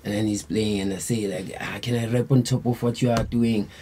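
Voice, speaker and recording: A man's voice: fairly low pitch, fairly even intonation, brisk, dark tone, slight background noise. A South African man, a band member, telling a long story in a laid-back, rambling way with lots of slang fillers. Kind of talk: casual storytelling interview.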